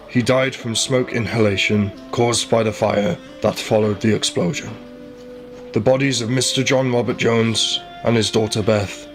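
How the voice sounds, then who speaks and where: An actor playing an earnest male investigator in a radio play, speaking formally about a tragic case. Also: lowish voice. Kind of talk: radio play acting and scripted narration. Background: music.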